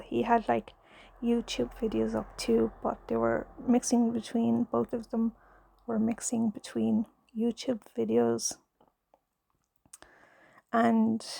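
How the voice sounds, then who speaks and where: A shy Irish woman in her thirties talks in a husky, half-whispered hush, her slow words trailing into gravelly fry. Her voice sits soft and mellow with a flat, even melody, close to a clip-on mic in a dry room. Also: fairly high pitch, dry recording. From Ireland. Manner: gentle, hesitant, nervous, with many fillers. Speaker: knitting ASMR creator, mother. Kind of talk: soft-spoken ASMR chat. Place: home, in pajamas. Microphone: clip-on mic on pajamas.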